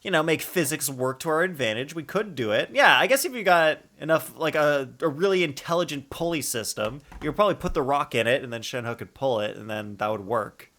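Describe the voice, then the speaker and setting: A man's voice: a little high, lively intonation, a little fast, hardly any pauses, a bit bright. A man giving casual, playful live commentary as a game streamer, thinking aloud in a rambling way.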